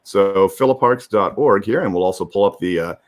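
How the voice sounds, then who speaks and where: A man's voice: sits low, a little fast. A man co-hosting an online live stream, explaining practical details in an informal, unscripted way. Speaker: livestream co-host and producer.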